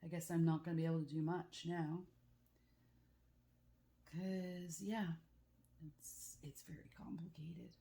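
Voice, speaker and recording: Low, mellow woman with a rough, congested voice. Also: fairly even intonation, measured pace, long pauses. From Canada. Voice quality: rough, croaky. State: congested, rough throat from a cold, throat-clearing. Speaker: craft vlogger. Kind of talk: casual chat while crafting. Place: at home with the back door open.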